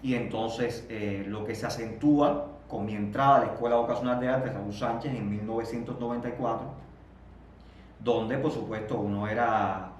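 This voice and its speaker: Man, 30s, Cuban accent, halting repeated words, distant mic, very echoey room. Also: lowish voice, steady tone, slight background noise. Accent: Cuban Spanish. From Cuba. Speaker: interview guest. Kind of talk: reflective interview conversation.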